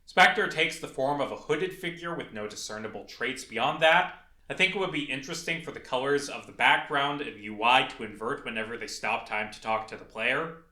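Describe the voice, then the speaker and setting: A man's voice: medium pitch, normal speed, pushed and bright. A young adult man narrating a scripted video essay as a game developer. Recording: echoey, reverberant room.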